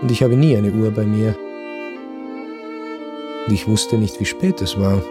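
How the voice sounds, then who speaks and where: An actor playing a melancholy aristocrat who narrates a literary radio drama in a brooding, deliberate way. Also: male voice, lowish voice, natural voice. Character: melancholy Viennese aristocrat recounting his lonely last night. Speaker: first-person narrator. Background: string music.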